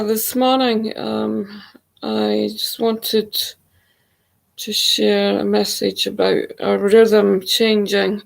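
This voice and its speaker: Casting: woman, croaky and gravelly, unhurried spiritual monologue, gentle and hesitant. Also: mid-range voice, rough recording. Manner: soft, hesitant, many pauses and fillers. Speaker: spiritual channel. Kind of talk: slow reflective monologue. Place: home.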